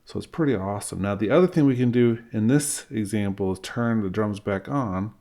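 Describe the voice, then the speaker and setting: A middle-aged man with a fairly low voice teaches in an informal tutorial style. He explains each step plainly, as an instructor recording at a desk in a small room. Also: expressive, brisk, mellow. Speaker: music software instructor.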